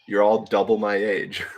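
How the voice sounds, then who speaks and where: Low-voiced young man rambling to chat. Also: level tone, a little slow. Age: 20s. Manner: rambling, laid-back, laughs often, many fillers. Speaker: livestream host reading chat. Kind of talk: casual solo livestream chat.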